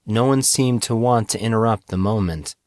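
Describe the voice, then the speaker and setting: A man's voice: on the low side, moderate pace, a little mellow, no room sound, studio quality. A man reading scripted fiction as a dramatic audiobook narrator.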